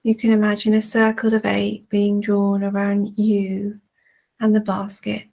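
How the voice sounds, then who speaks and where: A woman leading a guided relaxation in a soothing, gentle manner with long, unhurried pauses. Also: medium pitch, monotone, creaky. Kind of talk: guided relaxation, meditation narration.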